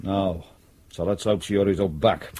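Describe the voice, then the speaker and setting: Sardonic British police detective. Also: male voice, deep voice, fairly even intonation, moderate pace, dark tone. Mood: dry, wry. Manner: dry, sarcastic, blunt. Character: sardonic, ambitious male police detective sergeant. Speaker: actor in a radio drama. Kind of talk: naturalistic British radio crime drama.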